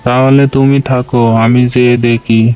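A man's voice: medium pitch, flat delivery, normal speed, a little mellow, smooth, close to an everyday voice. A man playing a poor, worried village labourer in a cartoon folk tale, earnest and plaintive. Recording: music under the voice.

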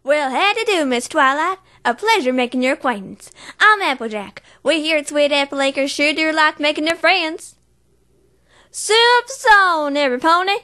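Woman doing a southern drawl cartoon farm pony, folksy and plain, bright voice. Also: high-pitched, exaggerated voice. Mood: friendly. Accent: Southern US (put-on). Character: honest hardworking female farm pony, down-to-earth. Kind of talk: cartoon voice acting reel.

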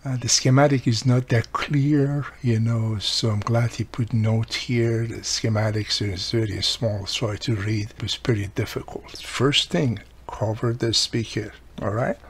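A man's voice: mid-range voice, fairly even intonation, measured pace, few pauses, breathy edge. A middle-aged man giving casual, hands-on instruction in an explanatory way, with frequent small cautions. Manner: explanatory, repeats "you know" and cautions. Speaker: radio repair video host. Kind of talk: casual hands-on repair tutorial. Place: indoor workbench.

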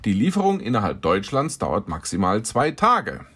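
A man's voice: lowish voice, huge pitch swings, normal speed, a bit bright, breathy edge. A man hosting his car channel, reading out scam messages and telling the story in a dry, wry way. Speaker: car dealer and channel host. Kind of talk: casual narration, reading out messages.

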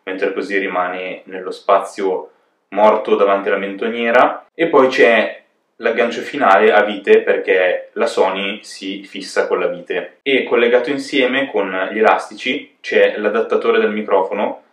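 A man's voice: fairly low pitch, fairly even intonation, unhurried, a bit bright. A man giving a casual, chatty hands-on tutorial as a vlog host at home. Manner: colloquial, chatty, explanatory. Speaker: motovlogger and channel host. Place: indoors, living room at home. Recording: echoey home room.

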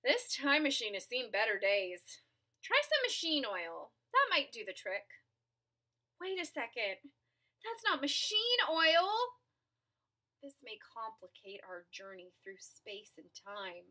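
A young adult woman reading a picture book aloud to children in an expressive, dramatic and playful way. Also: a little high, takes it slowly. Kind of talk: animated children's read-aloud. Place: small furnished room at home.

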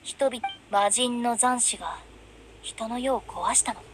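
A woman's voice: on the high side, a little slow, fairly bright tone, slight background noise. A woman playing a playful, confident teenage girl funeral director in an anime-style game. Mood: measured, solemn.

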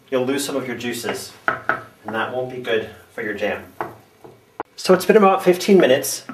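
Man in an echoey kitchen, far from the mic. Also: mid-range voice. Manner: relaxed, chatty, with fillers and restarts. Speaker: home cooking show host. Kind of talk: casual step-by-step cooking demonstration. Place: home kitchen. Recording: echoey room, distant mic, faint noise.

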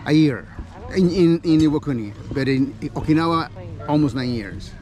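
A middle-aged man from Mexico City being interviewed outdoors in a park, speaking in a soft, hesitant way with frequent pauses. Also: fairly high pitch, lively intonation, background noise. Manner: soft-spoken, hesitant, with many uh fillers. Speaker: event organizer, guest. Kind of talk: casual interview. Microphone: camera held at arm's length by host.

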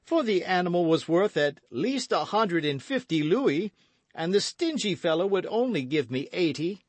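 A man's voice: high-pitched, big pitch swings, normal speed, no echo, pitched above the performer's own voice. A man voicing a boastful, hearty gourmand musketeer with a grand, exclamatory delivery in a storybook reading. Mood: blustering, self-justifying.

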